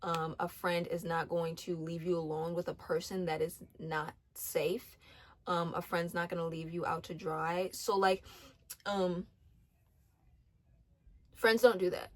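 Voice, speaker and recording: A woman's voice: on the low side, fairly even intonation, unhurried. A twenty-year-old woman giving casual faith-based advice, earnest, with many ums, restarts and repeated phrases. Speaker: faith vlogger.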